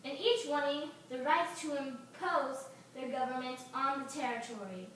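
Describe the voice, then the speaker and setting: A woman's voice: sits high, moderate pace, a bit bright. A young woman acting out an amateur puppet show as a student. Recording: echoey room, distant mic, faint noise.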